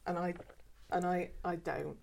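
A woman's voice: fairly low pitch, flat delivery, a little slow, creaky, darkish tone. A woman in her fifties with a mixed, mostly southern English accent, talking hesitantly in an oral history interview, often repeating words. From England. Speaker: former archive worker.

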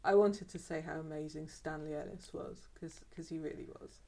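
Woman, fifties, mixed southern English accent, fairly low voice, hesitant, repeating words. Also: steady tone, normal speed, dark tone. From England. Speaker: former archive worker. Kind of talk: oral history interview.

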